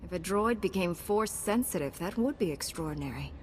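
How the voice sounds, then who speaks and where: A woman as a calm, noble Jedi heroine. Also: lowish voice, fairly quick, a little hiss or hum, natural voice. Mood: curious, warm. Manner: composed, sincere, diplomatic. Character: calm, noble female Jedi Knight hero. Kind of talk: video game voice acting. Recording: hall reverb, music behind.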